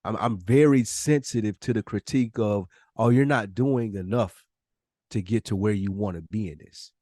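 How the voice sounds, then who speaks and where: A man's voice: on the low side, normal speed, darkish tone, dry recording, studio quality. A man hosting a podcast, speaking candidly and informally, with slang and swearing, in casual conversation. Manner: informal slangy talk with profanity. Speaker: podcast host.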